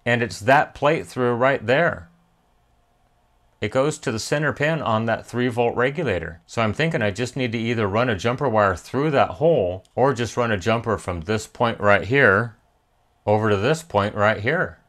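A man's voice: medium pitch, normal speed, a bit bright. A middle-aged American man narrating a hands-on electronics repair tutorial in a methodical, plain-spoken way. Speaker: electronics repair video host.

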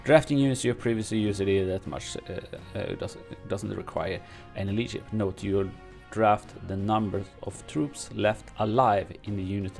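A man with a low, gravelly voice reads game update notes and adds his own comments in English, which is not his first language. He talks in a halting way, full of fillers and restarts. Faint music plays behind him in his home streaming room. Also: a little mellow. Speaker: gaming streamer. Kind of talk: reading and commenting on game news.

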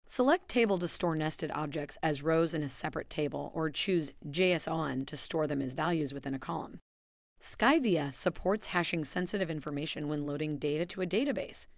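Low, gravelly woman narrating a tutorial. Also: no echo, clean studio sound. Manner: even, instructional delivery. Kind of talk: scripted screencast voice-over.

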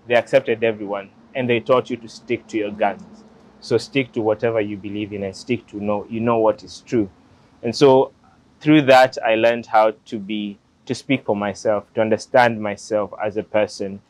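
A man's voice: lowish voice, no room sound, rough recording. A young man in his late twenties with a Kenyan accent, telling his story to an interviewer in an earnest, reflective way, recorded outdoors on a lapel mic. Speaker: interview guest, lawyer. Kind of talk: relaxed personal storytelling. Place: outdoors beside a glass building.

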